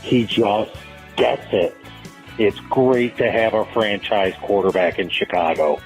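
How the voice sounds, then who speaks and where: A man's voice: on the low side, unhurried. A man leaving short, clipped sports comments as a fan's voicemail. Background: music.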